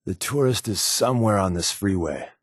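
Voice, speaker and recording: A man's voice: on the low side, lively intonation, normal speed, breathy edge, no echo, clean studio sound. A man playing a sly, wry undercover resistance agent in a video game, talking in a casual, glib, sardonic way. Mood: persuasive, wry. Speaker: video game companion character. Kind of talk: scripted game dialogue, conversational.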